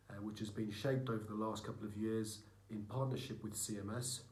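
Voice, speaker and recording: Earnest middle-aged man with a low voice. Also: darkish tone. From United Kingdom. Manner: earnest and measured, with frequent ums and uhs. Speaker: mission partner presenting to a church. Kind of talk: recorded slide presentation.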